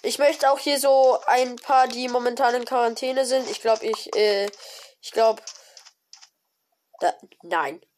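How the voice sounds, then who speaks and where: A woman with a cold, giving casual, excited commentary on her own gameplay with many fillers and exclamations. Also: on the high side, measured pace, slight background noise. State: has a cold. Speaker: gaming video creator. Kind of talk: casual let's-play commentary.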